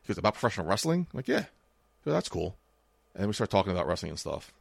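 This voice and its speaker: Fast-talking thirties man, dry close sound. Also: low voice, big pitch swings, gravelly, husky, dry recording. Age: 30s. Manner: swears freely, tells anecdotes with quoted dialogue. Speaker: podcast co-host. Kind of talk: casual chat.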